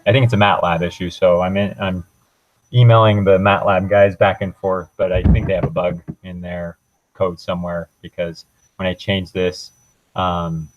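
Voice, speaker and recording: A young man giving an informal technical presentation as a student, walking through a software demo in a hesitant, halting way. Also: sits low, little pitch movement, highs cut off, slight background noise.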